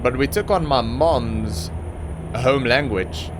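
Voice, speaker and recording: A man's voice: mid-range voice, slow, noisy background. A young man from Africa, an Afrikaans speaker, rambling through casual commentary on a truck driving game with plenty of ums and restarts. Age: young adult. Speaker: gaming channel host.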